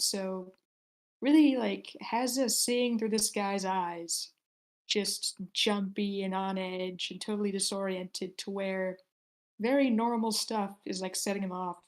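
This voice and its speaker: Female host, mid-pitched, informal and wordy, casual discussion leader who searches for her words. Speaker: host of a screenplay table read discussion. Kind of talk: casual chat.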